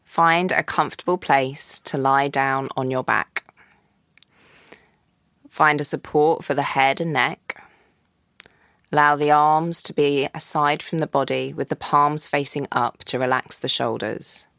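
A woman guiding a yoga nidra practice in a slow, soft, calm voice with long pauses. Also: deep voice, dry recording, studio quality. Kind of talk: guided meditation.

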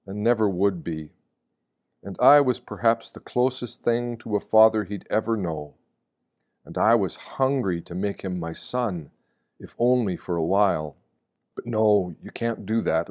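A man reading a scripted devotional reflection in a measured, reflective manner, often with rhetorical weight. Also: lowish voice, a little mellow. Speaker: reader of Lenten meditations.

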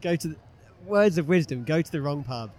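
A man with a slightly hoarse voice, enthusiastically interviewing exhibitors at a business show. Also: a little high, expressive, darkish tone. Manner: enthusiastic, quick to praise. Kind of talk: upbeat vox-pop interviewing. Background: exhibitors packing up.

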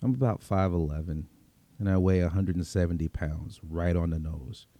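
Audio story lead: male actor as brooding, world-weary Brooklyn vampire, streetwise first-person storyteller, low and very dark timbre. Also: normal speed. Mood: weary, bitter. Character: world-weary vigilante vampire from Brooklyn, looks twenty-six. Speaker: story reader.

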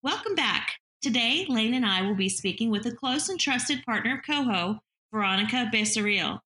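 A woman's voice: on the high side, lively intonation, normal speed, sharp tone. A woman hosting an interview, speaking in a friendly, upbeat and joking way. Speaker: interview host. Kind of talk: conversational interview.

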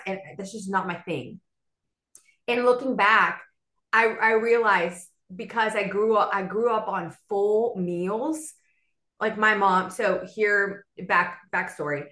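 A young adult woman from South Florida, a bilingual Spanish and English speaker, telling her story as a podcast guest in an animated, chatty way. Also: medium pitch, a little slow, roomy sound. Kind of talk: casual conversational storytelling.

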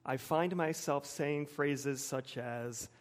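An American man in his forties preaching a sermon with personal anecdotes, measured, with emphatic pauses and repeated phrases. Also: mid-range voice. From United States, suburban Wilmington. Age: 40s.

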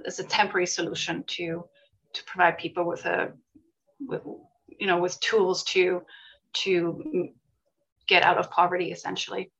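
Low, gravelly Swiss-born woman talking. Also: little pitch movement, normal speed, long pauses, fairly bright tone, dull audio. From Switzerland. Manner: thoughtful, many fillers and restarts. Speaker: guest, volunteer charity leader. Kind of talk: interview answers.

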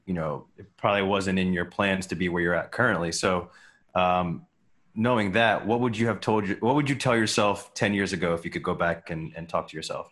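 Low-voiced rambling man co-hosting a podcast. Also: fairly quick, fairly bright tone. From United States. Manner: rambling, filler-heavy questions. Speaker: podcast co-host. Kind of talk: casual podcast interview.

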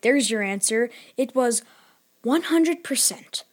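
An actor playing an excitable, combative boy in a children's audio drama, with a brash, teasing manner. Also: mid-range voice, takes it slowly, slight background noise. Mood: cocky, teasing. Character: excitable, combative boy eager for pirates and scrapes.

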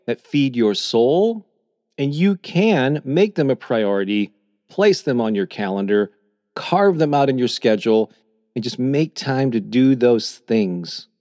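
A middle-aged man reading his own calm, encouraging self-help talk as a podcast host. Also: medium pitch, no room sound, studio quality. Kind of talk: scripted motivational narration.

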